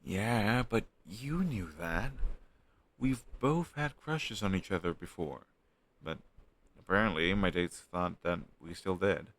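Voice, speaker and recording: Low, creaky, sheepish young man acted out by a man. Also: fairly bright tone, exaggerated voice. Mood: flustered, confessional. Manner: hesitant, sheepish, halting conversational delivery. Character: flustered young man shyly hiding a crush on his best friend. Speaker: audio roleplay performer. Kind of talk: intimate one-sided conversational audio roleplay.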